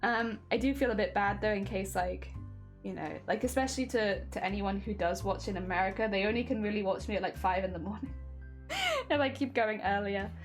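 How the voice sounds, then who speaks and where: A woman's voice: medium pitch, expressive, brisk, soft background music. A young woman chatting casually on a craft stream while sewing, rambling with lots of fillers and a slight winter cough.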